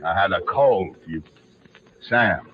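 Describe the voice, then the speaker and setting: An actor playing an easily browbeaten, hesitant police lieutenant in a 1940s radio drama. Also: male voice, low voice, expressive, slow. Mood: exasperated. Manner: hesitant, stammering, exasperated. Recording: muffled, very noisy old radio recording.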